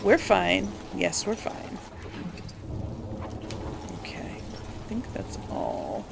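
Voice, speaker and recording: A woman's voice: mid-range voice, big pitch swings, extremely slow, vocal fry, heavy background noise. A woman hosting a casual let's play, chatting to viewers and talking to herself as she plays, with exclamations. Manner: talks to self while playing, exclaiming. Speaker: gaming video host.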